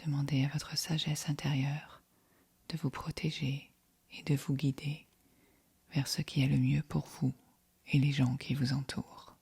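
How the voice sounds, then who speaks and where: Woman doing guided meditation, super soft whispery voice, really slow n calm, close dry sound. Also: extremely low pitch, vocal fry, breathy edge, dry recording. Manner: calm, soothing, measured delivery. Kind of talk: guided yoga nidra meditation.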